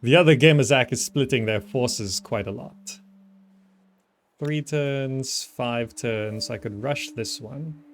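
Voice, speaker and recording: A man's voice: mid-range voice, takes it slowly, a little hiss or hum. A man giving casual gameplay commentary, thinking aloud as he plays. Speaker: gaming video host.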